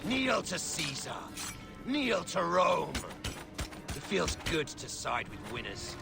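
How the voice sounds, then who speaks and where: An actor playing a ruthless, scheming Roman general who taunts and commands coldly. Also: male voice, on the high side, sing-song, takes it slowly, creaky, full character voice. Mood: taunting. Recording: game audio under loud music.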